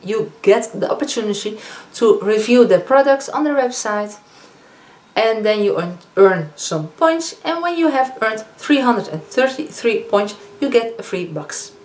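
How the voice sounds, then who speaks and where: A woman in her fifties with a Dutch accent, chatting casually as a beauty vlogger reviewing products, often pausing on fillers and correcting herself. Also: a little high, expressive, darkish tone. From Netherlands. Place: living room, indoors. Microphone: camera in front of a seated speaker. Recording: echoey room with faint hiss.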